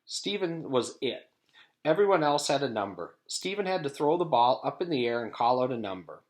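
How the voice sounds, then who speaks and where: A man reading a children's chapter book aloud as a teacher does for students, in an animated storytelling style. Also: mid-range voice, normal speed.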